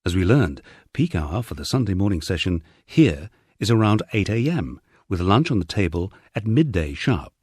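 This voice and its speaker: Male audiobook narrator, lowish husky voice with an animated, expressive read. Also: moderate pace, few pauses, no echo. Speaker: audiobook reader. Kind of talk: scripted audiobook narration of a memoir.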